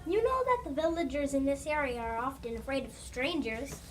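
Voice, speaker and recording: High-pitched child reader, a bit distant. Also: lively intonation. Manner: scripted, deliberate recital. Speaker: student reader. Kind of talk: reader's theater reading aloud. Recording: distant mic, noisy room ambience.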